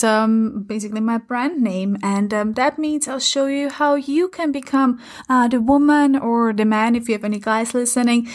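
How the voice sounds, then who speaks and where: A woman in her thirties from Austria, a native German speaker with an Austrian German accent, hosting her own podcast in an earnest, encouraging way with many fillers. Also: on the high side. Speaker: podcast host and coach. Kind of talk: casual solo podcast talk. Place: home in London.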